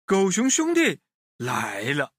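A man telling a children's story in an expressive way, speaking directly to his young listeners. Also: high voice, takes it slowly, no echo, clean studio sound.

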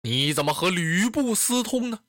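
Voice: medium pitch, sing-song, moderate pace, fairly bright tone, dry recording, studio quality. A storyteller voicing an old male tyrant in a historical tale, lordly and self-important, grave yet coaxing and doting. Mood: stern.

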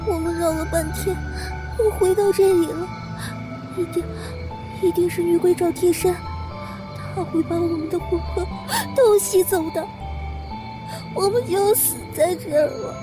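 A woman's voice: very high-pitched, fairly even intonation, unhurried, pauses often. A woman acting a timid, frightened young servant girl in an audio drama, whimpering, stammering and pleading. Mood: fearful, despairing. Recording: music behind the voice.

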